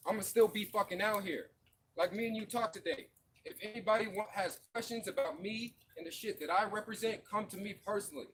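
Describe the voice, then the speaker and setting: A man's voice: sits high, background noise. A man from Florida speaking fervently and profanely to fellow marchers outdoors at night, in slangy everyday speech. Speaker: protest marcher. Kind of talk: impassioned street speech. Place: outdoors at a night street protest.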